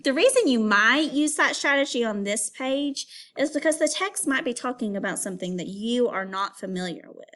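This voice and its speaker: A woman with a fairly high voice and very animated intonation gives a read-aloud lesson for children. She speaks as an elementary teacher, phrasing things clearly and instructing young listeners step by step. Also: normal speed, hardly any pauses.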